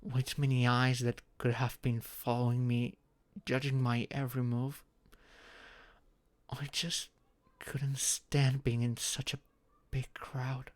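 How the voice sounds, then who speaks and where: A young man playing a shy, anxious friend in an intimate audio roleplay, speaking haltingly with sighs and murmurs. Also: mid-range voice, flat delivery, no echo, natural voice. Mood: uneasy, halting. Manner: halting, sighing, breathy murmurs rising to tearful outbursts.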